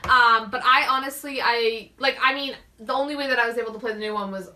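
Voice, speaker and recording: A woman's voice: a little high, steady tone, quick pace, sharp tone, slight background noise. A woman chatting casually about games and films as a talk show co-host, with an enthusiastic, gushing way of talking.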